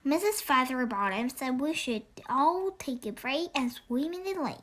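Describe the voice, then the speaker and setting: A woman's voice: high voice, lively intonation, normal speed, a bit bright. A young girl of about eight reading a children's story aloud, earnest and careful, with occasional stumbles. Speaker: young reader of a children's book read-aloud. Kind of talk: expressive storybook read-aloud narration.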